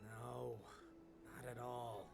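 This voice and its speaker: Weary game pilot, gravelly, mid-pitched, curt, loud music behind. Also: male voice, flat delivery, drawn-out words, heavily acted. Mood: guarded, curt. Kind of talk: scripted video game voice acting. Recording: game audio under loud background music.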